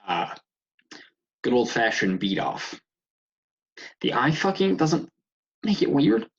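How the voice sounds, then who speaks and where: A man's voice: mid-range voice, big pitch swings, takes it slowly, gravelly, breathy edge, full character voice. A man playing a tired, sarcastic young man who complains about his housemates in a comedy table read. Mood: grumpy, sarcastic. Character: sleep-deprived, exasperated young man with housemate troubles. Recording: muffled video call audio.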